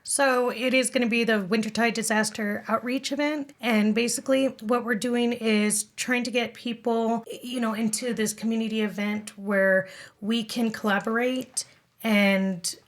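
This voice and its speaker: A woman's voice: a little high, fairly even intonation, normal speed. A woman speaking as an interview guest, explaining things conversationally with everyday examples and checking that listeners follow. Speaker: podcast guest, amateur radio club event director.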